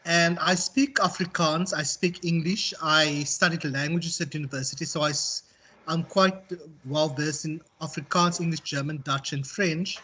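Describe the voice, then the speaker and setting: A South African man speaking as a university tutor in an informal online class, reflective and halting as he circles back to rephrase. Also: a little high, fairly even intonation, pushed and bright, husky. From Western Cape, South Africa. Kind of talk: informal online lecture and discussion.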